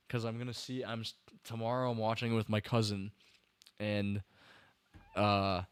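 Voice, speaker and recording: Young male streamer, mid pitch, smooth clear voice, dry close mic, a bit tired, rambly. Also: no room sound. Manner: rambling, restarts sentences, talks to chat viewers. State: slightly tired. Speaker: podcast host livestreaming a film breakdown. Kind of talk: casual enthusiastic film commentary to chat.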